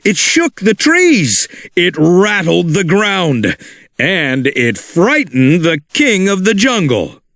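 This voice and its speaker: A man reading a children's storybook aloud in an animated, expressive way. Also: high voice, slow, creaky, dry recording, clean studio sound. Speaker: storybook narrator. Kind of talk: read-along storybook narration.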